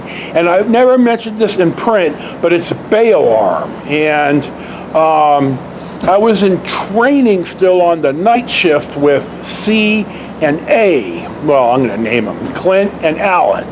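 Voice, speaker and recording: A man tells stories casually, in a halting, rambling way with asides and self-corrections. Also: high-pitched. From San Francisco Bay Area, United States. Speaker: vlog host telling personal stories. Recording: clear steady background noise.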